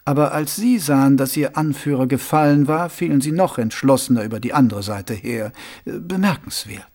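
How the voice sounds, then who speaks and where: A man voicing a suave, cool-headed male city ruler and former assassin who talks in a smooth, measured, faintly amused way, a little higher than the narration. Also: darkish tone, close to an everyday voice. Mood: silky, measured.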